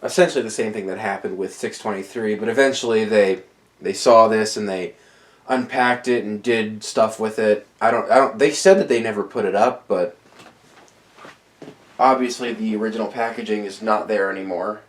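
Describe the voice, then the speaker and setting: A man's voice: on the low side, fairly even intonation, normal speed. A man casually showing off a ceiling fan in his collection, talking in a rambling, hesitant way, sounding a bit nasal and tired after dental work. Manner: rambling, hesitant, with many restarts. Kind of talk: casual show-and-tell vlog. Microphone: camera on a tripod.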